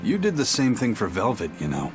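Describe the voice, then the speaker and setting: An actor playing a gruff, brooding pirate with a grave, terse manner in a video game cutscene. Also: male voice, lowish voice, wildly swooping pitch, brisk. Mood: reflective. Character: brooding, gruff pirate man haunted by a cursed past. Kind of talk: English-dubbed video game cutscene acting. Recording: dramatic game music behind the voice.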